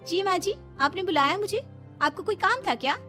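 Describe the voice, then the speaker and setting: Young educated daughter-in-law played by a woman, high voice, haughty, sly, snide, cartoon story over music. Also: animated, a little fast, pushed and bright, heavily acted. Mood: coolly polite. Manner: snide, scornful, drawling.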